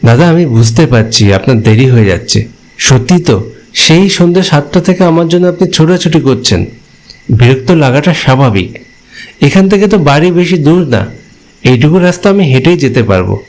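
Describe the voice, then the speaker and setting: A man playing a hungry, stranded village traveler, telling his ghost story in expressive, polite, conversational first-person narration and dialogue. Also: fairly high pitch, moderate pace, poor audio. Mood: polite, insistent.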